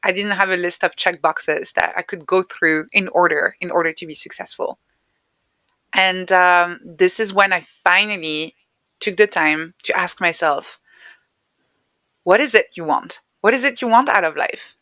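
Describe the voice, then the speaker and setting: A woman with a fairly low, clearly bright voice talks reflectively as an interview guest. She explains things articulately in a conversational way, and the sound is dry, with very little room on it. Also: a little slow, dry recording. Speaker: podcast guest, author and neuroscientist.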